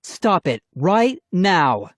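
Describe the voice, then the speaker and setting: A woman's voice: sits low, very animated, normal speed, dark tone, dry recording, clean studio sound, voice pushed up. A woman voicing an exasperated mother in a children's audiobook, firmly scolding squabbling children. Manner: firm, scolding.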